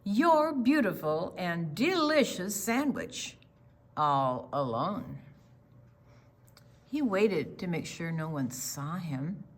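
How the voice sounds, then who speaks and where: A woman reading a children's picture book aloud in an expressive storytime style. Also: lowish voice.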